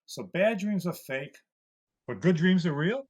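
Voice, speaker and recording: A man playing an aging, weary, gentle mouse who speaks as a calm, fatherly mentor in an audio drama. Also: sits high, measured pace. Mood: weary, reflective.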